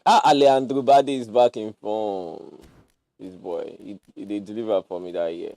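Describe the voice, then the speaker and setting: A man's voice: fairly low pitch, normal speed, no room sound. A young Nigerian man giving loose, joking commentary while he plays on a livestream, slipping into pidgin slang. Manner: animated, jokey, mixes pidgin slang. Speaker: gaming streamer.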